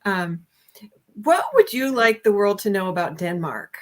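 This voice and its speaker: A woman hosting an online interview over a video call, chatty and quick to laugh, with frequent ums and uhs. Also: mid-range voice, sing-song. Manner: warm, laughs often, filler-heavy. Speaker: host.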